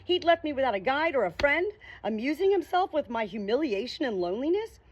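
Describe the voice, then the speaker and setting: A woman's voice: high voice, big pitch swings, a little fast, muffled recording. A woman narrating an audiobook novel dramatically in the first person.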